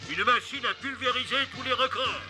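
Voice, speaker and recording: A man's voice: very high-pitched, normal speed, loud music behind the voice. An actor playing a pompous, bullying, hot-tempered circus director in a cartoon, blustering like a grandiose showman. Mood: grandiose, proud.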